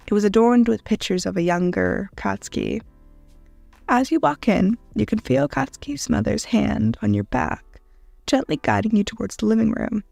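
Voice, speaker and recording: A woman narrating a scripted second-person story in a dramatic manner with deliberate pauses. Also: medium pitch, gravelly, a little mellow, no room sound. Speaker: narrator of fan fiction audio.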